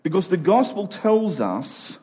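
A man's voice: high voice, sing-song, measured pace, dark tone, slightly rough. A man preaching a conversational sermon, earnest, repeating key phrases for emphasis.

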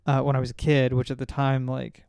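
Clear-voiced man chatting in a dry room. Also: mid-range voice, monotone, brisk, darkish tone, no room sound. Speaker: podcast host. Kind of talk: casual pop-culture chat.

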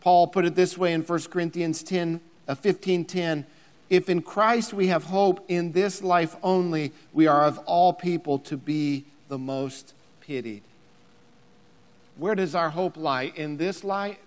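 A man's voice: a little high, steady tone, unhurried. Man preaching in a reverberant hall. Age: 30s. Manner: builds to emphatic near-shouting, repeats words. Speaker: pastor. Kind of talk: sermon.

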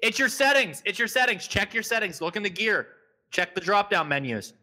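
A man's voice: high-pitched, animated, brisk, pushed and bright. A man hosting a podcast stream, joking around, swearing and laughing often. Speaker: podcast host. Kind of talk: casual podcast chat.

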